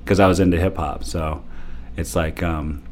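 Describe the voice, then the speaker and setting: Man, forties, from Japan, very low voice, laughing storyteller. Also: normal speed. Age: 40s. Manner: animated, laughing, many fillers. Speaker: DJ guest. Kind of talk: casual interview storytelling.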